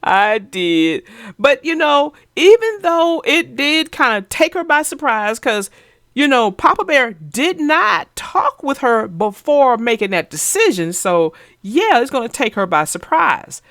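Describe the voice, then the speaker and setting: A woman reading a children's storybook aloud in a measured, gentle way, then chatting casually about it. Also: high-pitched, very animated, no room sound, clean studio sound. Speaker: storybook narrator.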